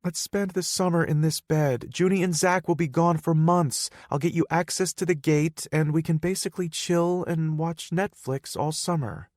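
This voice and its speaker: A man's voice: fairly high pitch, normal speed, hardly any pauses, darkish tone, no echo, clean studio sound, pitched above the performer's own voice, lightly acted. A man voicing a playful, teasing young woman in an audiobook, raising his voice to sound bubbly and pleading. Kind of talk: audiobook character voice.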